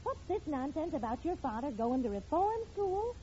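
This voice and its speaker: A woman's voice: high voice, very animated, normal speed, warm tone. An actress playing a middle-aged, protective wife and mother in a 1940s radio comedy, emphatic and scolding. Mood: indignant, scolding. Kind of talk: 1940s radio sitcom acting. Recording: muffled vintage radio recording, faint noise.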